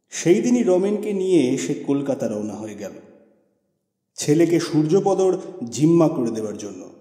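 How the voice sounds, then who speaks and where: A man narrating a literary story as an audiobook, with expressive, theatrical delivery. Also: on the high side. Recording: echoey reverberant voice over music.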